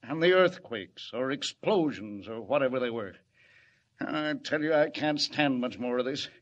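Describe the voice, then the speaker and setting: A man's voice: mid-range voice, lively intonation, normal speed, close to an everyday voice. Man as harried city official, dull vintage sound. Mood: exasperated, alarmed. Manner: emphatic, exasperated, repeats himself. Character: harried, gruff, anxious male city commissioner. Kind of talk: 1940s radio acting. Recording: dull vintage radio recording.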